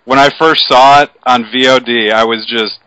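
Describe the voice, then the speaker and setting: 30 yr old podcast dude, crisp bright voice, dry close sound, cusses a lot. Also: male voice, medium pitch, fairly even intonation, moderate pace, no echo, dull audio. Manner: swears freely, laid-back. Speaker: podcast co-host. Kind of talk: casual chat. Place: his condo.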